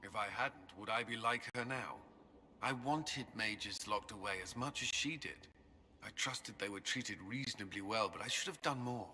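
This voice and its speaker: Solemn templar knight, haunted and guilty, low but bright forceful voice. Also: male voice, slight background noise. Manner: solemn, earnest, measured. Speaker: game character.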